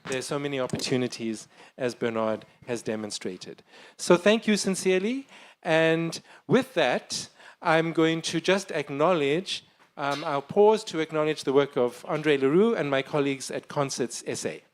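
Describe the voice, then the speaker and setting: A man's voice: a little high, lively intonation, normal speed, slight background noise. A man moderating a panel discussion, courteous and formal, with frequent hesitations as he introduces the next speaker. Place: conference hall panel.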